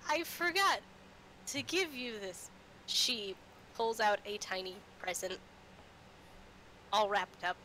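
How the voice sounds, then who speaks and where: Casting: female game master, slow and bright, improvising in character with halting phrasing, faint noise behind. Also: medium pitch, very animated, poor audio. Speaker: game master of a tabletop roleplaying stream. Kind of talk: improvised in-character dialogue and narration.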